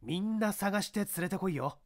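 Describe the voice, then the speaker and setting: A man voicing a shrewd, authoritative police inspector who gives brisk orders in a mystery audiobook. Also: sits high, animated, pitched above the performer's own voice. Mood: urgent, commanding. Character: shrewd, commanding middle-aged male police inspector. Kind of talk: dramatized mystery audiobook reading.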